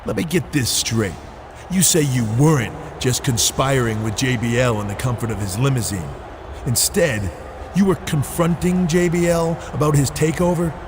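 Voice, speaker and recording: A man's voice: medium pitch, normal speed, natural voice. A man playing a suspicious wrestler in a video game cutscene, speaking in an accusing, sceptical manner. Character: suspicious wrestler questioning a rival's story. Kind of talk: scripted game cutscene acting. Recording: noisy game audio with ambience.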